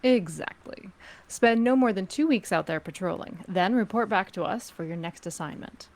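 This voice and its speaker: A woman playing a cool, commanding crime-syndicate agent in a script table read, speaking in a measured, formal way. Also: mid-range voice, a little mellow, natural voice.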